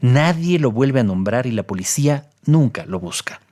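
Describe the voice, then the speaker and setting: A man's voice: medium pitch, lively intonation, normal speed, dark tone. A man giving scripted analytical commentary as co-narrator of an audio documentary.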